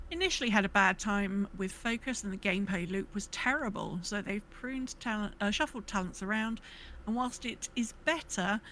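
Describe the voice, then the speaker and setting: Female podcast co-host, informal and chatty, mid-pitched, with a little noise behind the voice. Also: a bit bright, rough recording. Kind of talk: casual chat and reading out listener messages. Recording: clear background noise.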